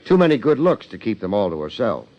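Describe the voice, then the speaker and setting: An actor playing a wry, hard-boiled American detective with deadpan wisecracks, in 1950s radio drama style. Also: male voice, lowish voice, fast talker. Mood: wry, flirtatious. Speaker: detective and narrator. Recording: dull, band-limited 1950s radio recording.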